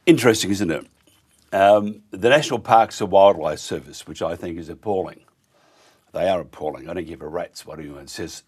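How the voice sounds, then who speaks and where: A hoarse Australian man hosting a live talk radio show, blunt and ranting, mocking others in put-on voices. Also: deep voice, normal speed, a little mellow. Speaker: radio host.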